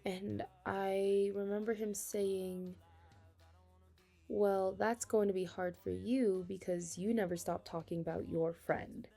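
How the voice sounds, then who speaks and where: A young woman playing a flustered, affectionate best friend with a secret crush in an intimate ASMR roleplay, speaking hesitantly and haltingly. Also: mid-range voice, fairly even intonation, creaky, mellow, soft background music. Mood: shy, confessional. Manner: hesitant, halting, breaking into nervous laughs. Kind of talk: ASMR romantic roleplay, intimate conversational acting.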